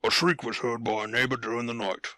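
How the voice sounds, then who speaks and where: Man playing a crisp-toned, formal police officer. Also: mid-range voice, level tone, quick pace, pushed and bright, no room sound, close to an everyday voice. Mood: formal, official. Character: polite, official police officer on a night search. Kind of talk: audio drama character dialogue.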